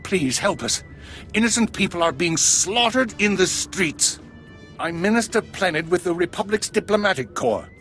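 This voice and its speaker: An actor playing an anxious, pleading diplomatic minister in a video game, agitated and dramatic. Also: male voice, high-pitched, lively intonation, moderate pace, fairly bright tone, faint music behind. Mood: frightened pleading. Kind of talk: video game character dialogue.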